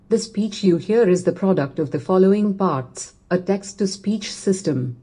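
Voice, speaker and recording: A synthetic female voice-assistant voice from a smart speaker, reciting text in an even, machine-read way. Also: lowish voice. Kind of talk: synthetic text-to-speech reading.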